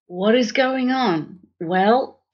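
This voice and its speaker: An Australian woman talks slowly as a podcast guest. She is candid and reflective, pausing often in a casual style. The call audio is slightly dull and lo-fi. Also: fairly high pitch, expressive, slightly rough. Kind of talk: casual personal interview.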